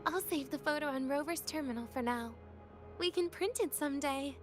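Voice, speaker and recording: Young female student, woman actor, game dialogue. High pitch, cool and composed, dry humor, light teasing. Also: normal speed, music in the background, natural voice. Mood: friendly. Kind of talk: video game voice acting.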